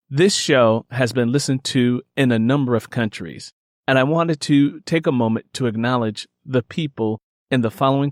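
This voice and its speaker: A man's voice: mid-range voice, dry recording, studio quality. A man speaking as an author and podcast host, addressing his listeners directly in an earnest way. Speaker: author, creator and narrator of an audio drama podcast.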